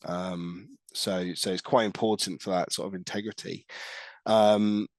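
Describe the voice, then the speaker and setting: A man's voice: low-pitched, fairly even intonation, unhurried, dry recording. A middle-aged man with a stuffy nose from a cold, giving a presentation on a video call, hesitant with many fillers and restarts. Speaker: guest speaker, tech lead.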